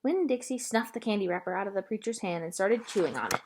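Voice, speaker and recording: Woman reading a story with lively pitch. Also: fast talker, slightly rough. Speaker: reader of a children's novel. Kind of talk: read-aloud storytelling with voiced dialogue.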